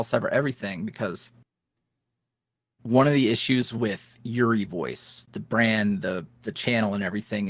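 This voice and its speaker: A man's voice: on the low side, a bit bright, dry recording, clean studio sound. A young man, a voice creator, chatting casually as a podcast guest, rambling with many restarts. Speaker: guest, ASMR roleplay creator. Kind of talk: casual interview chat. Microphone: headset.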